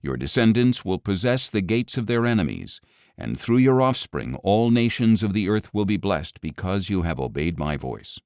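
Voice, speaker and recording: A man's voice: lowish voice, very animated, moderate pace, darkish tone, clear, resonant tone, dry recording, studio quality. A man reading the solemn, commanding words of God and His angel in a dramatic audio Bible. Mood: solemn promise.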